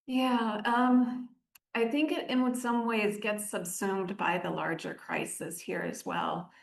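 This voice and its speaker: A woman giving measured, articulate explanations as an aid director interviewed on the radio. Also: medium pitch, fairly even intonation, gravelly. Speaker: aid organisation country director, interview guest. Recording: roomy, echoing sound.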